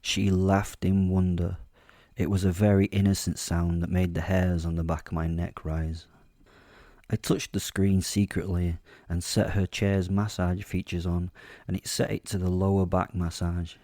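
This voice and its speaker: Guy narrating a horror podcast in character, really low soft-edged voice, wry little asides, confiding. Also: dry recording, studio quality. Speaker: narrator and host of a fiction podcast. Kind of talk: first-person horror drama narration, told in character.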